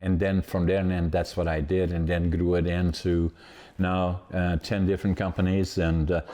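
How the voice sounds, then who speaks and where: Low, mellow older Dutch man. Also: flat delivery, moderate pace. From Netherlands, northeastern Groningen province. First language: Dutch dialect of Groningen. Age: 80s. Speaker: podcast host. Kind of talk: conversational storytelling interview.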